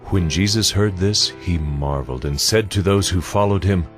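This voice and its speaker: A male narrator reads a Bible story from a script. His voice is very deep, and music plays clearly behind him. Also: normal speed.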